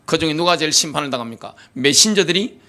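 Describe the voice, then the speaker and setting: A man delivers a sermon from the pulpit, his pitch sitting high and swinging widely from line to line. He reads scripture in slow, weighty phrases, then turns to the congregation with rhetorical questions. His tone is bright and forceful. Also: expressive. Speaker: pastor.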